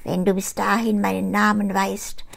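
An older woman reading a fairy tale aloud, voicing a small, sly male goblin who bargains for payment in a high, thin put-on voice. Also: level tone, moderate pace, vocal fry. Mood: sly bargaining.

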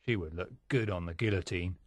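A woman's voice: very deep voice, a little mellow, dry recording, pitched below the performer's own voice. A woman voicing a solemn, bloodthirsty male revolutionary in a storybook reading, grim and gloating. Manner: solemn, slow, eager for blood.

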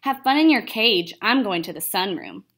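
A woman's voice: medium pitch, lively intonation, normal speed, fairly bright tone, slightly rough. A woman plays a scornful female cat from a picture book. She is sly and dismissive, needling and tempting as she talks. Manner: goading, dismissive.

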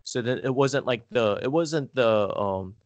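Man chatting, halting and close-miked. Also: medium pitch, dry recording. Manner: halting, rambling, peppered with "like". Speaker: podcast guest. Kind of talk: casual conversational storytelling.

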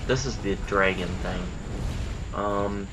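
A man's voice: fairly low pitch, slow, noisy background. A man hosting casual gameplay commentary, sounding stuffy in the nose after just waking up, chatty and self-deprecating. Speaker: gaming channel host. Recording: game sound and gunfire behind.

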